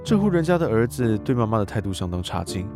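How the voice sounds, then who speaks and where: Young man, low register: courteous tutor and secret observer, audio drama narration over music. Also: sing-song, fast talker. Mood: detached, observing. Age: young adult. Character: polite young male tutor, secretly a watchful voyeur. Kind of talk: scripted radio drama narration and dialogue.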